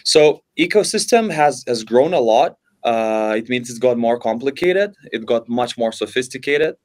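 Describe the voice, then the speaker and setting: A man's voice: medium pitch, lively intonation, normal speed. A man from Lithuania, a podcast guest, answering interview questions fluently, with many repeated starts and fillers. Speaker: podcast guest, venture investor.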